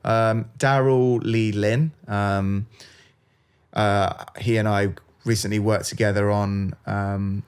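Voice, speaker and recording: A man's voice: on the low side, flat delivery, slow pace, clear, resonant tone. A man, a composer, chatting casually as an interview guest, with lots of fillers and restarts. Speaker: video game composer, guest.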